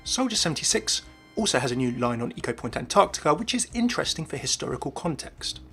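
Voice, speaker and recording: A man's voice: on the high side, big pitch swings, quick pace, vocal fry, fairly bright tone, slightly rough, faint music behind. A man presenting scripted commentary on video game lore as a voice-over. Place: voice-over with faint music behind.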